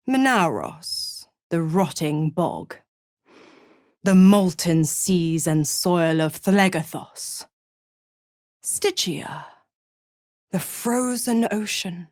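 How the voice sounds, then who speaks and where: An actress playing a seductive, mocking, imperious female devil in a video game, with a sultry, taunting and theatrically drawn-out delivery. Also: on the low side, a little mellow, no room sound, clean studio sound. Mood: dark, relishing.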